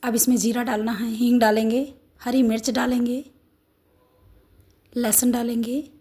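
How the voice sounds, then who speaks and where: A middle-aged woman with a hoarse, sore throat, walking through a home recipe step by step in a homely, instructive way. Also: a little high, flat delivery, a little slow, warm tone. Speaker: cooking channel host. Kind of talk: home cooking demonstration.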